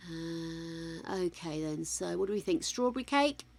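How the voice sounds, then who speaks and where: A woman's voice: low voice, expressive, unhurried, vocal fry, a little mellow, slightly rough. An older woman streaming a game, chatting casually and musing aloud to her viewers as she builds. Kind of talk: casual gameplay chat. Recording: game music behind the voice.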